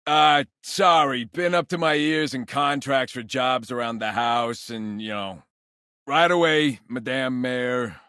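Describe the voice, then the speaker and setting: A man's voice: mid-range voice, takes it slowly, sharp tone, smooth, dry recording, studio quality. An actor voicing a cocky, grumbling blue-collar man in a video game, with an American accent and a brash, colloquial delivery. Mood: harried. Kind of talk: video game character voice acting.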